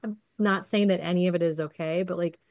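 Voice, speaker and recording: Lowish woman's voice, rambly, says like a lot, dry sound. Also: fairly even intonation, fairly quick, darkish tone, no room sound. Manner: rambling, lots of "like" fillers. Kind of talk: casual chat.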